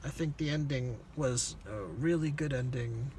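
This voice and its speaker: A man's voice: mid-range voice, a little slow, a little mellow, slight background noise. A man giving a casual conversational movie review, hesitant and rambling as he searches for words. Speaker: film reviewer on a video channel.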